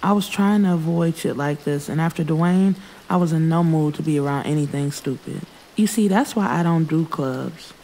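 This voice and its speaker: A woman's voice: low voice, normal speed, warm tone, slight background noise. A woman narrating first-person urban fiction in a casual, frank, streetwise way. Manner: frank, streetwise delivery with swearing. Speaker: audiobook narrator.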